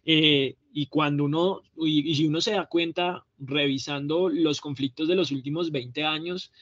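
A man's voice: fairly high pitch, no echo. A man in his thirties giving an academic talk on a video call, explaining with rhetorical questions. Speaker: panel speaker. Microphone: webcam on a video call. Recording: dry, dull lo-fi call audio.

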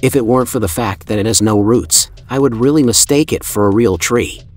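A man's voice: medium pitch, a little fast. Man reading a formal documentary voice-over. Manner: formal, admiring, evenly paced reading. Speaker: narrator. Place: voice-over, not the pictured building site. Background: soft background music.

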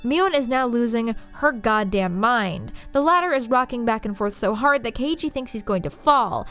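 A woman video essayist reading scripted story analysis, dramatically, with deliberate pauses. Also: on the high side, lively intonation, a bit bright, faint music behind.

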